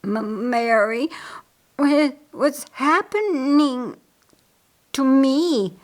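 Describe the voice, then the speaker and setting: A woman's voice: high voice, lively intonation, pitched above the performer's own voice, exaggerated voice. A woman voicing an ailing, teasing brother in a storybook, stammering and drawing out his words. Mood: dazed, pained. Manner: halting, stammering, drawn-out.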